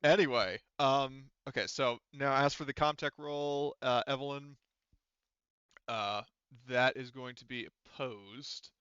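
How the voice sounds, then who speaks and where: Bright, crisp-voiced man running a game. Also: mid-range voice, measured pace, no echo, dull audio. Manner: rambling, casually profane. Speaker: game master. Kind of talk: casual tabletop game mastering over online chat.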